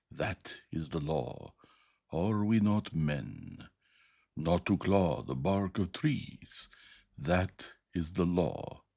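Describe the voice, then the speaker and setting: Guy doing a hunched beast-thing in the dark, super deep thick voice, whistly overtone, grunty sing-song chanting, husky, storybook. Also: exaggerated voice. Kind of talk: audiobook reading.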